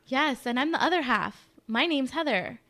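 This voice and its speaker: A woman's voice: high-pitched, lively intonation, moderate pace, sharp tone. A woman co-hosting a live broadcast segment on missing persons, agreeable and reading reports steadily. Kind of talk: casual live show chat.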